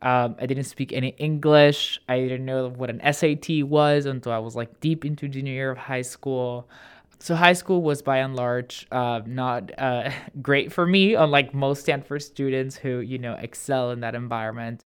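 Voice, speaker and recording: A man's voice: mid-range voice, fairly even intonation, normal speed, clean tone. A college student in his twenties from Venezuela, a native Spanish speaker, reflecting on his own story in a casual interview. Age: 20s.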